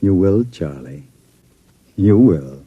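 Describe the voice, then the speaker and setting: An actor playing a scheming, coolly menacing crime boss with an American accent in an old radio drama, suave and controlled. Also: male voice, low-pitched, expressive, slow, narrow, tinny audio, heavy background noise. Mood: cool command. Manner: suave, controlled, quietly threatening.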